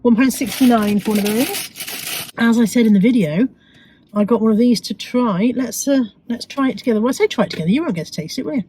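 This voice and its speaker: A woman with a cold, sounding croaky and sniffly, chatting casually to viewers about her shopping with self-mocking asides. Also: a little high, a little fast, warm tone, slight background noise, rough recording. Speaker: vlogger. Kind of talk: chatty shopping haul vlog.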